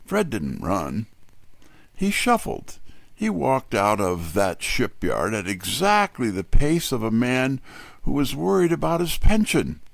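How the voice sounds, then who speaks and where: A man's voice: mid-range voice, huge pitch swings, slow pace, gravelly, no room sound, exaggerated voice. A man playing a wry, streetwise detective's assistant, narrating a scripted mystery in the first person. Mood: wry, suspenseful.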